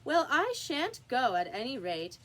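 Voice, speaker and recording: A woman's voice: high voice, very animated, measured pace, fairly bright tone, heavily acted, rough recording. A woman voicing a polite but contrary little girl in a storybook reading, plain-spoken and indignant. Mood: defiant.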